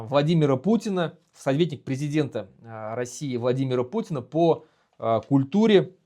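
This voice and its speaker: A man with a fairly high voice gives a solo commentary to camera. He is a native Bashkir speaker from Bashkortostan. He sounds earnest and indignant, pausing and restarting often. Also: darkish tone. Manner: earnest, indignant, halting with fillers. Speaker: video host and activist.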